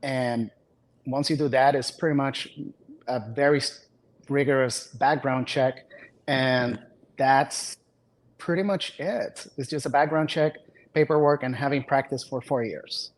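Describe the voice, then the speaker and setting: A man from Puerto Rico, a physician, answering interview questions in a relaxed way with dry humor. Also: medium pitch, a little slow. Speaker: physician, guest.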